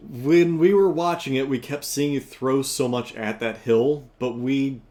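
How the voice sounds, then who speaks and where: A male commentator analysing a tabletop match, measured and explanatory. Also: mid-range voice, a little mellow. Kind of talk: post-game discussion.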